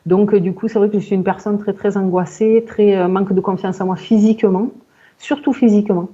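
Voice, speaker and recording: Mellow-voiced woman in her forties, muffled audio. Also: medium pitch, steady tone. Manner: halting, self-searching, repeats words. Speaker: client in a hypnosis session interview. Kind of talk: personal account in conversation.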